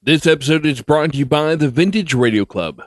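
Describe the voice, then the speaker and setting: A man's voice: a little high, expressive, fairly quick, no echo, clean studio sound. A man hosting a podcast, reading a scripted introduction. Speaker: podcast host.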